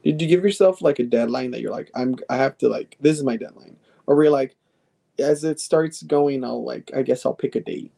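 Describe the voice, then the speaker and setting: A man's voice: medium pitch, a little fast, creaky, dark tone. A man in his twenties hosting a podcast interview, chatty and casual, often restarting his phrases with lots of fillers. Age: 20s. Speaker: podcast host.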